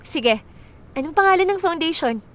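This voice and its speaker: An actress playing a loyal, teasing, sentimental young best friend in a radio drama, chatty and expressive, with a Filipino accent. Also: female voice, very high voice, moderate pace. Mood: helpful, curious. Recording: music bed under the voice. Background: music.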